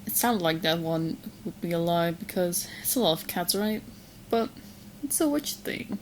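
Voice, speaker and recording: Sweet, low-voiced obsessive witch played by a woman. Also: expressive, normal speed, a little hiss or hum, natural voice, low-quality recording. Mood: cheerful, obsessive. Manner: sweet, playful, possessive, giggly. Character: sweet, romantically obsessed yandere witch girlfriend. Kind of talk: ASMR roleplay audio drama, spoken to the listener.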